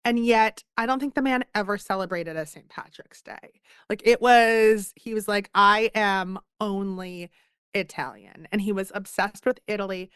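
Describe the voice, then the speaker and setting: A chatty woman in her thirties tells her stories with self-deprecating humor. Her voice is smooth and clear, and it sits dry and close to the mic. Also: medium pitch, fairly even intonation, moderate pace, a bit bright, dry recording. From Philadelphia. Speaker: author guest. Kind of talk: casual podcast interview.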